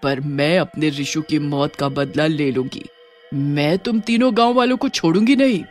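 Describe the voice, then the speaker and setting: A man's voice: a little high, normal speed, close to an everyday voice. A man voicing a vengeful, menacing female witch with a heavy voice in a horror story, music behind. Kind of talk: animated horror story dialogue.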